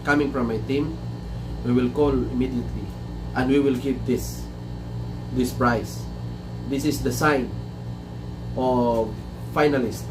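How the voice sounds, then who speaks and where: A man's voice: medium pitch, steady tone, unhurried, distant mic, over music. Chatty man with Filipino English accent. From Philippines. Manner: mixes English with Filipino, chatty, repeats words. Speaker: chef and livestream host. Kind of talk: casual livestream talk. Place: small room indoors. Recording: noisy room with air conditioner hum. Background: air conditioning hum.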